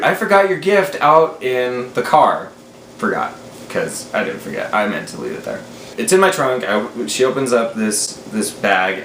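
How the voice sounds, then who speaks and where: A man's voice: mid-range voice, a bit bright. Young American man, roomy sound. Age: 20s. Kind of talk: casual storytelling to camera. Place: small room at home, indoors. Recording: roomy echo, faint background noise.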